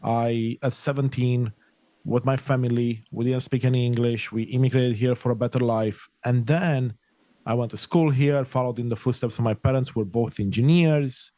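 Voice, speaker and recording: A man's voice: mid-range voice, steady tone, moderate pace, dark tone, no echo. Earnest Romanian-born man, dry close sound. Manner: earnest, reflective, speaks in long flowing sentences. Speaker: podcast guest, life coach. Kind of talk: conversational interview.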